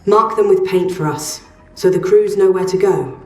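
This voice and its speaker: A woman's voice: medium pitch, normal speed, echoey room, far from the mic, lightly acted. An actress playing an earnest, hopeful woman in a sci-fi video game, speaking in a candid, conversational way. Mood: excited. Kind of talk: video game cutscene acting.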